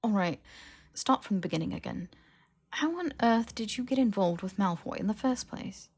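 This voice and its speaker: A woman voicing a clever, anxious young woman in an audiobook, brisk and incredulous as she lectures a friend. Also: medium pitch, lively intonation, slightly rough, voice pushed up. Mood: concerned, probing. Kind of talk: dramatized audiobook dialogue.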